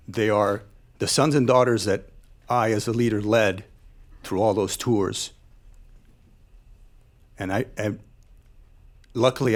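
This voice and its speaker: Low-voiced man speaking deliberately. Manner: measured, deliberate phrasing with emphatic repetition. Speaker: military veteran and former troop leader. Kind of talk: public talkback remarks, earnest speech. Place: live event space at a panel discussion.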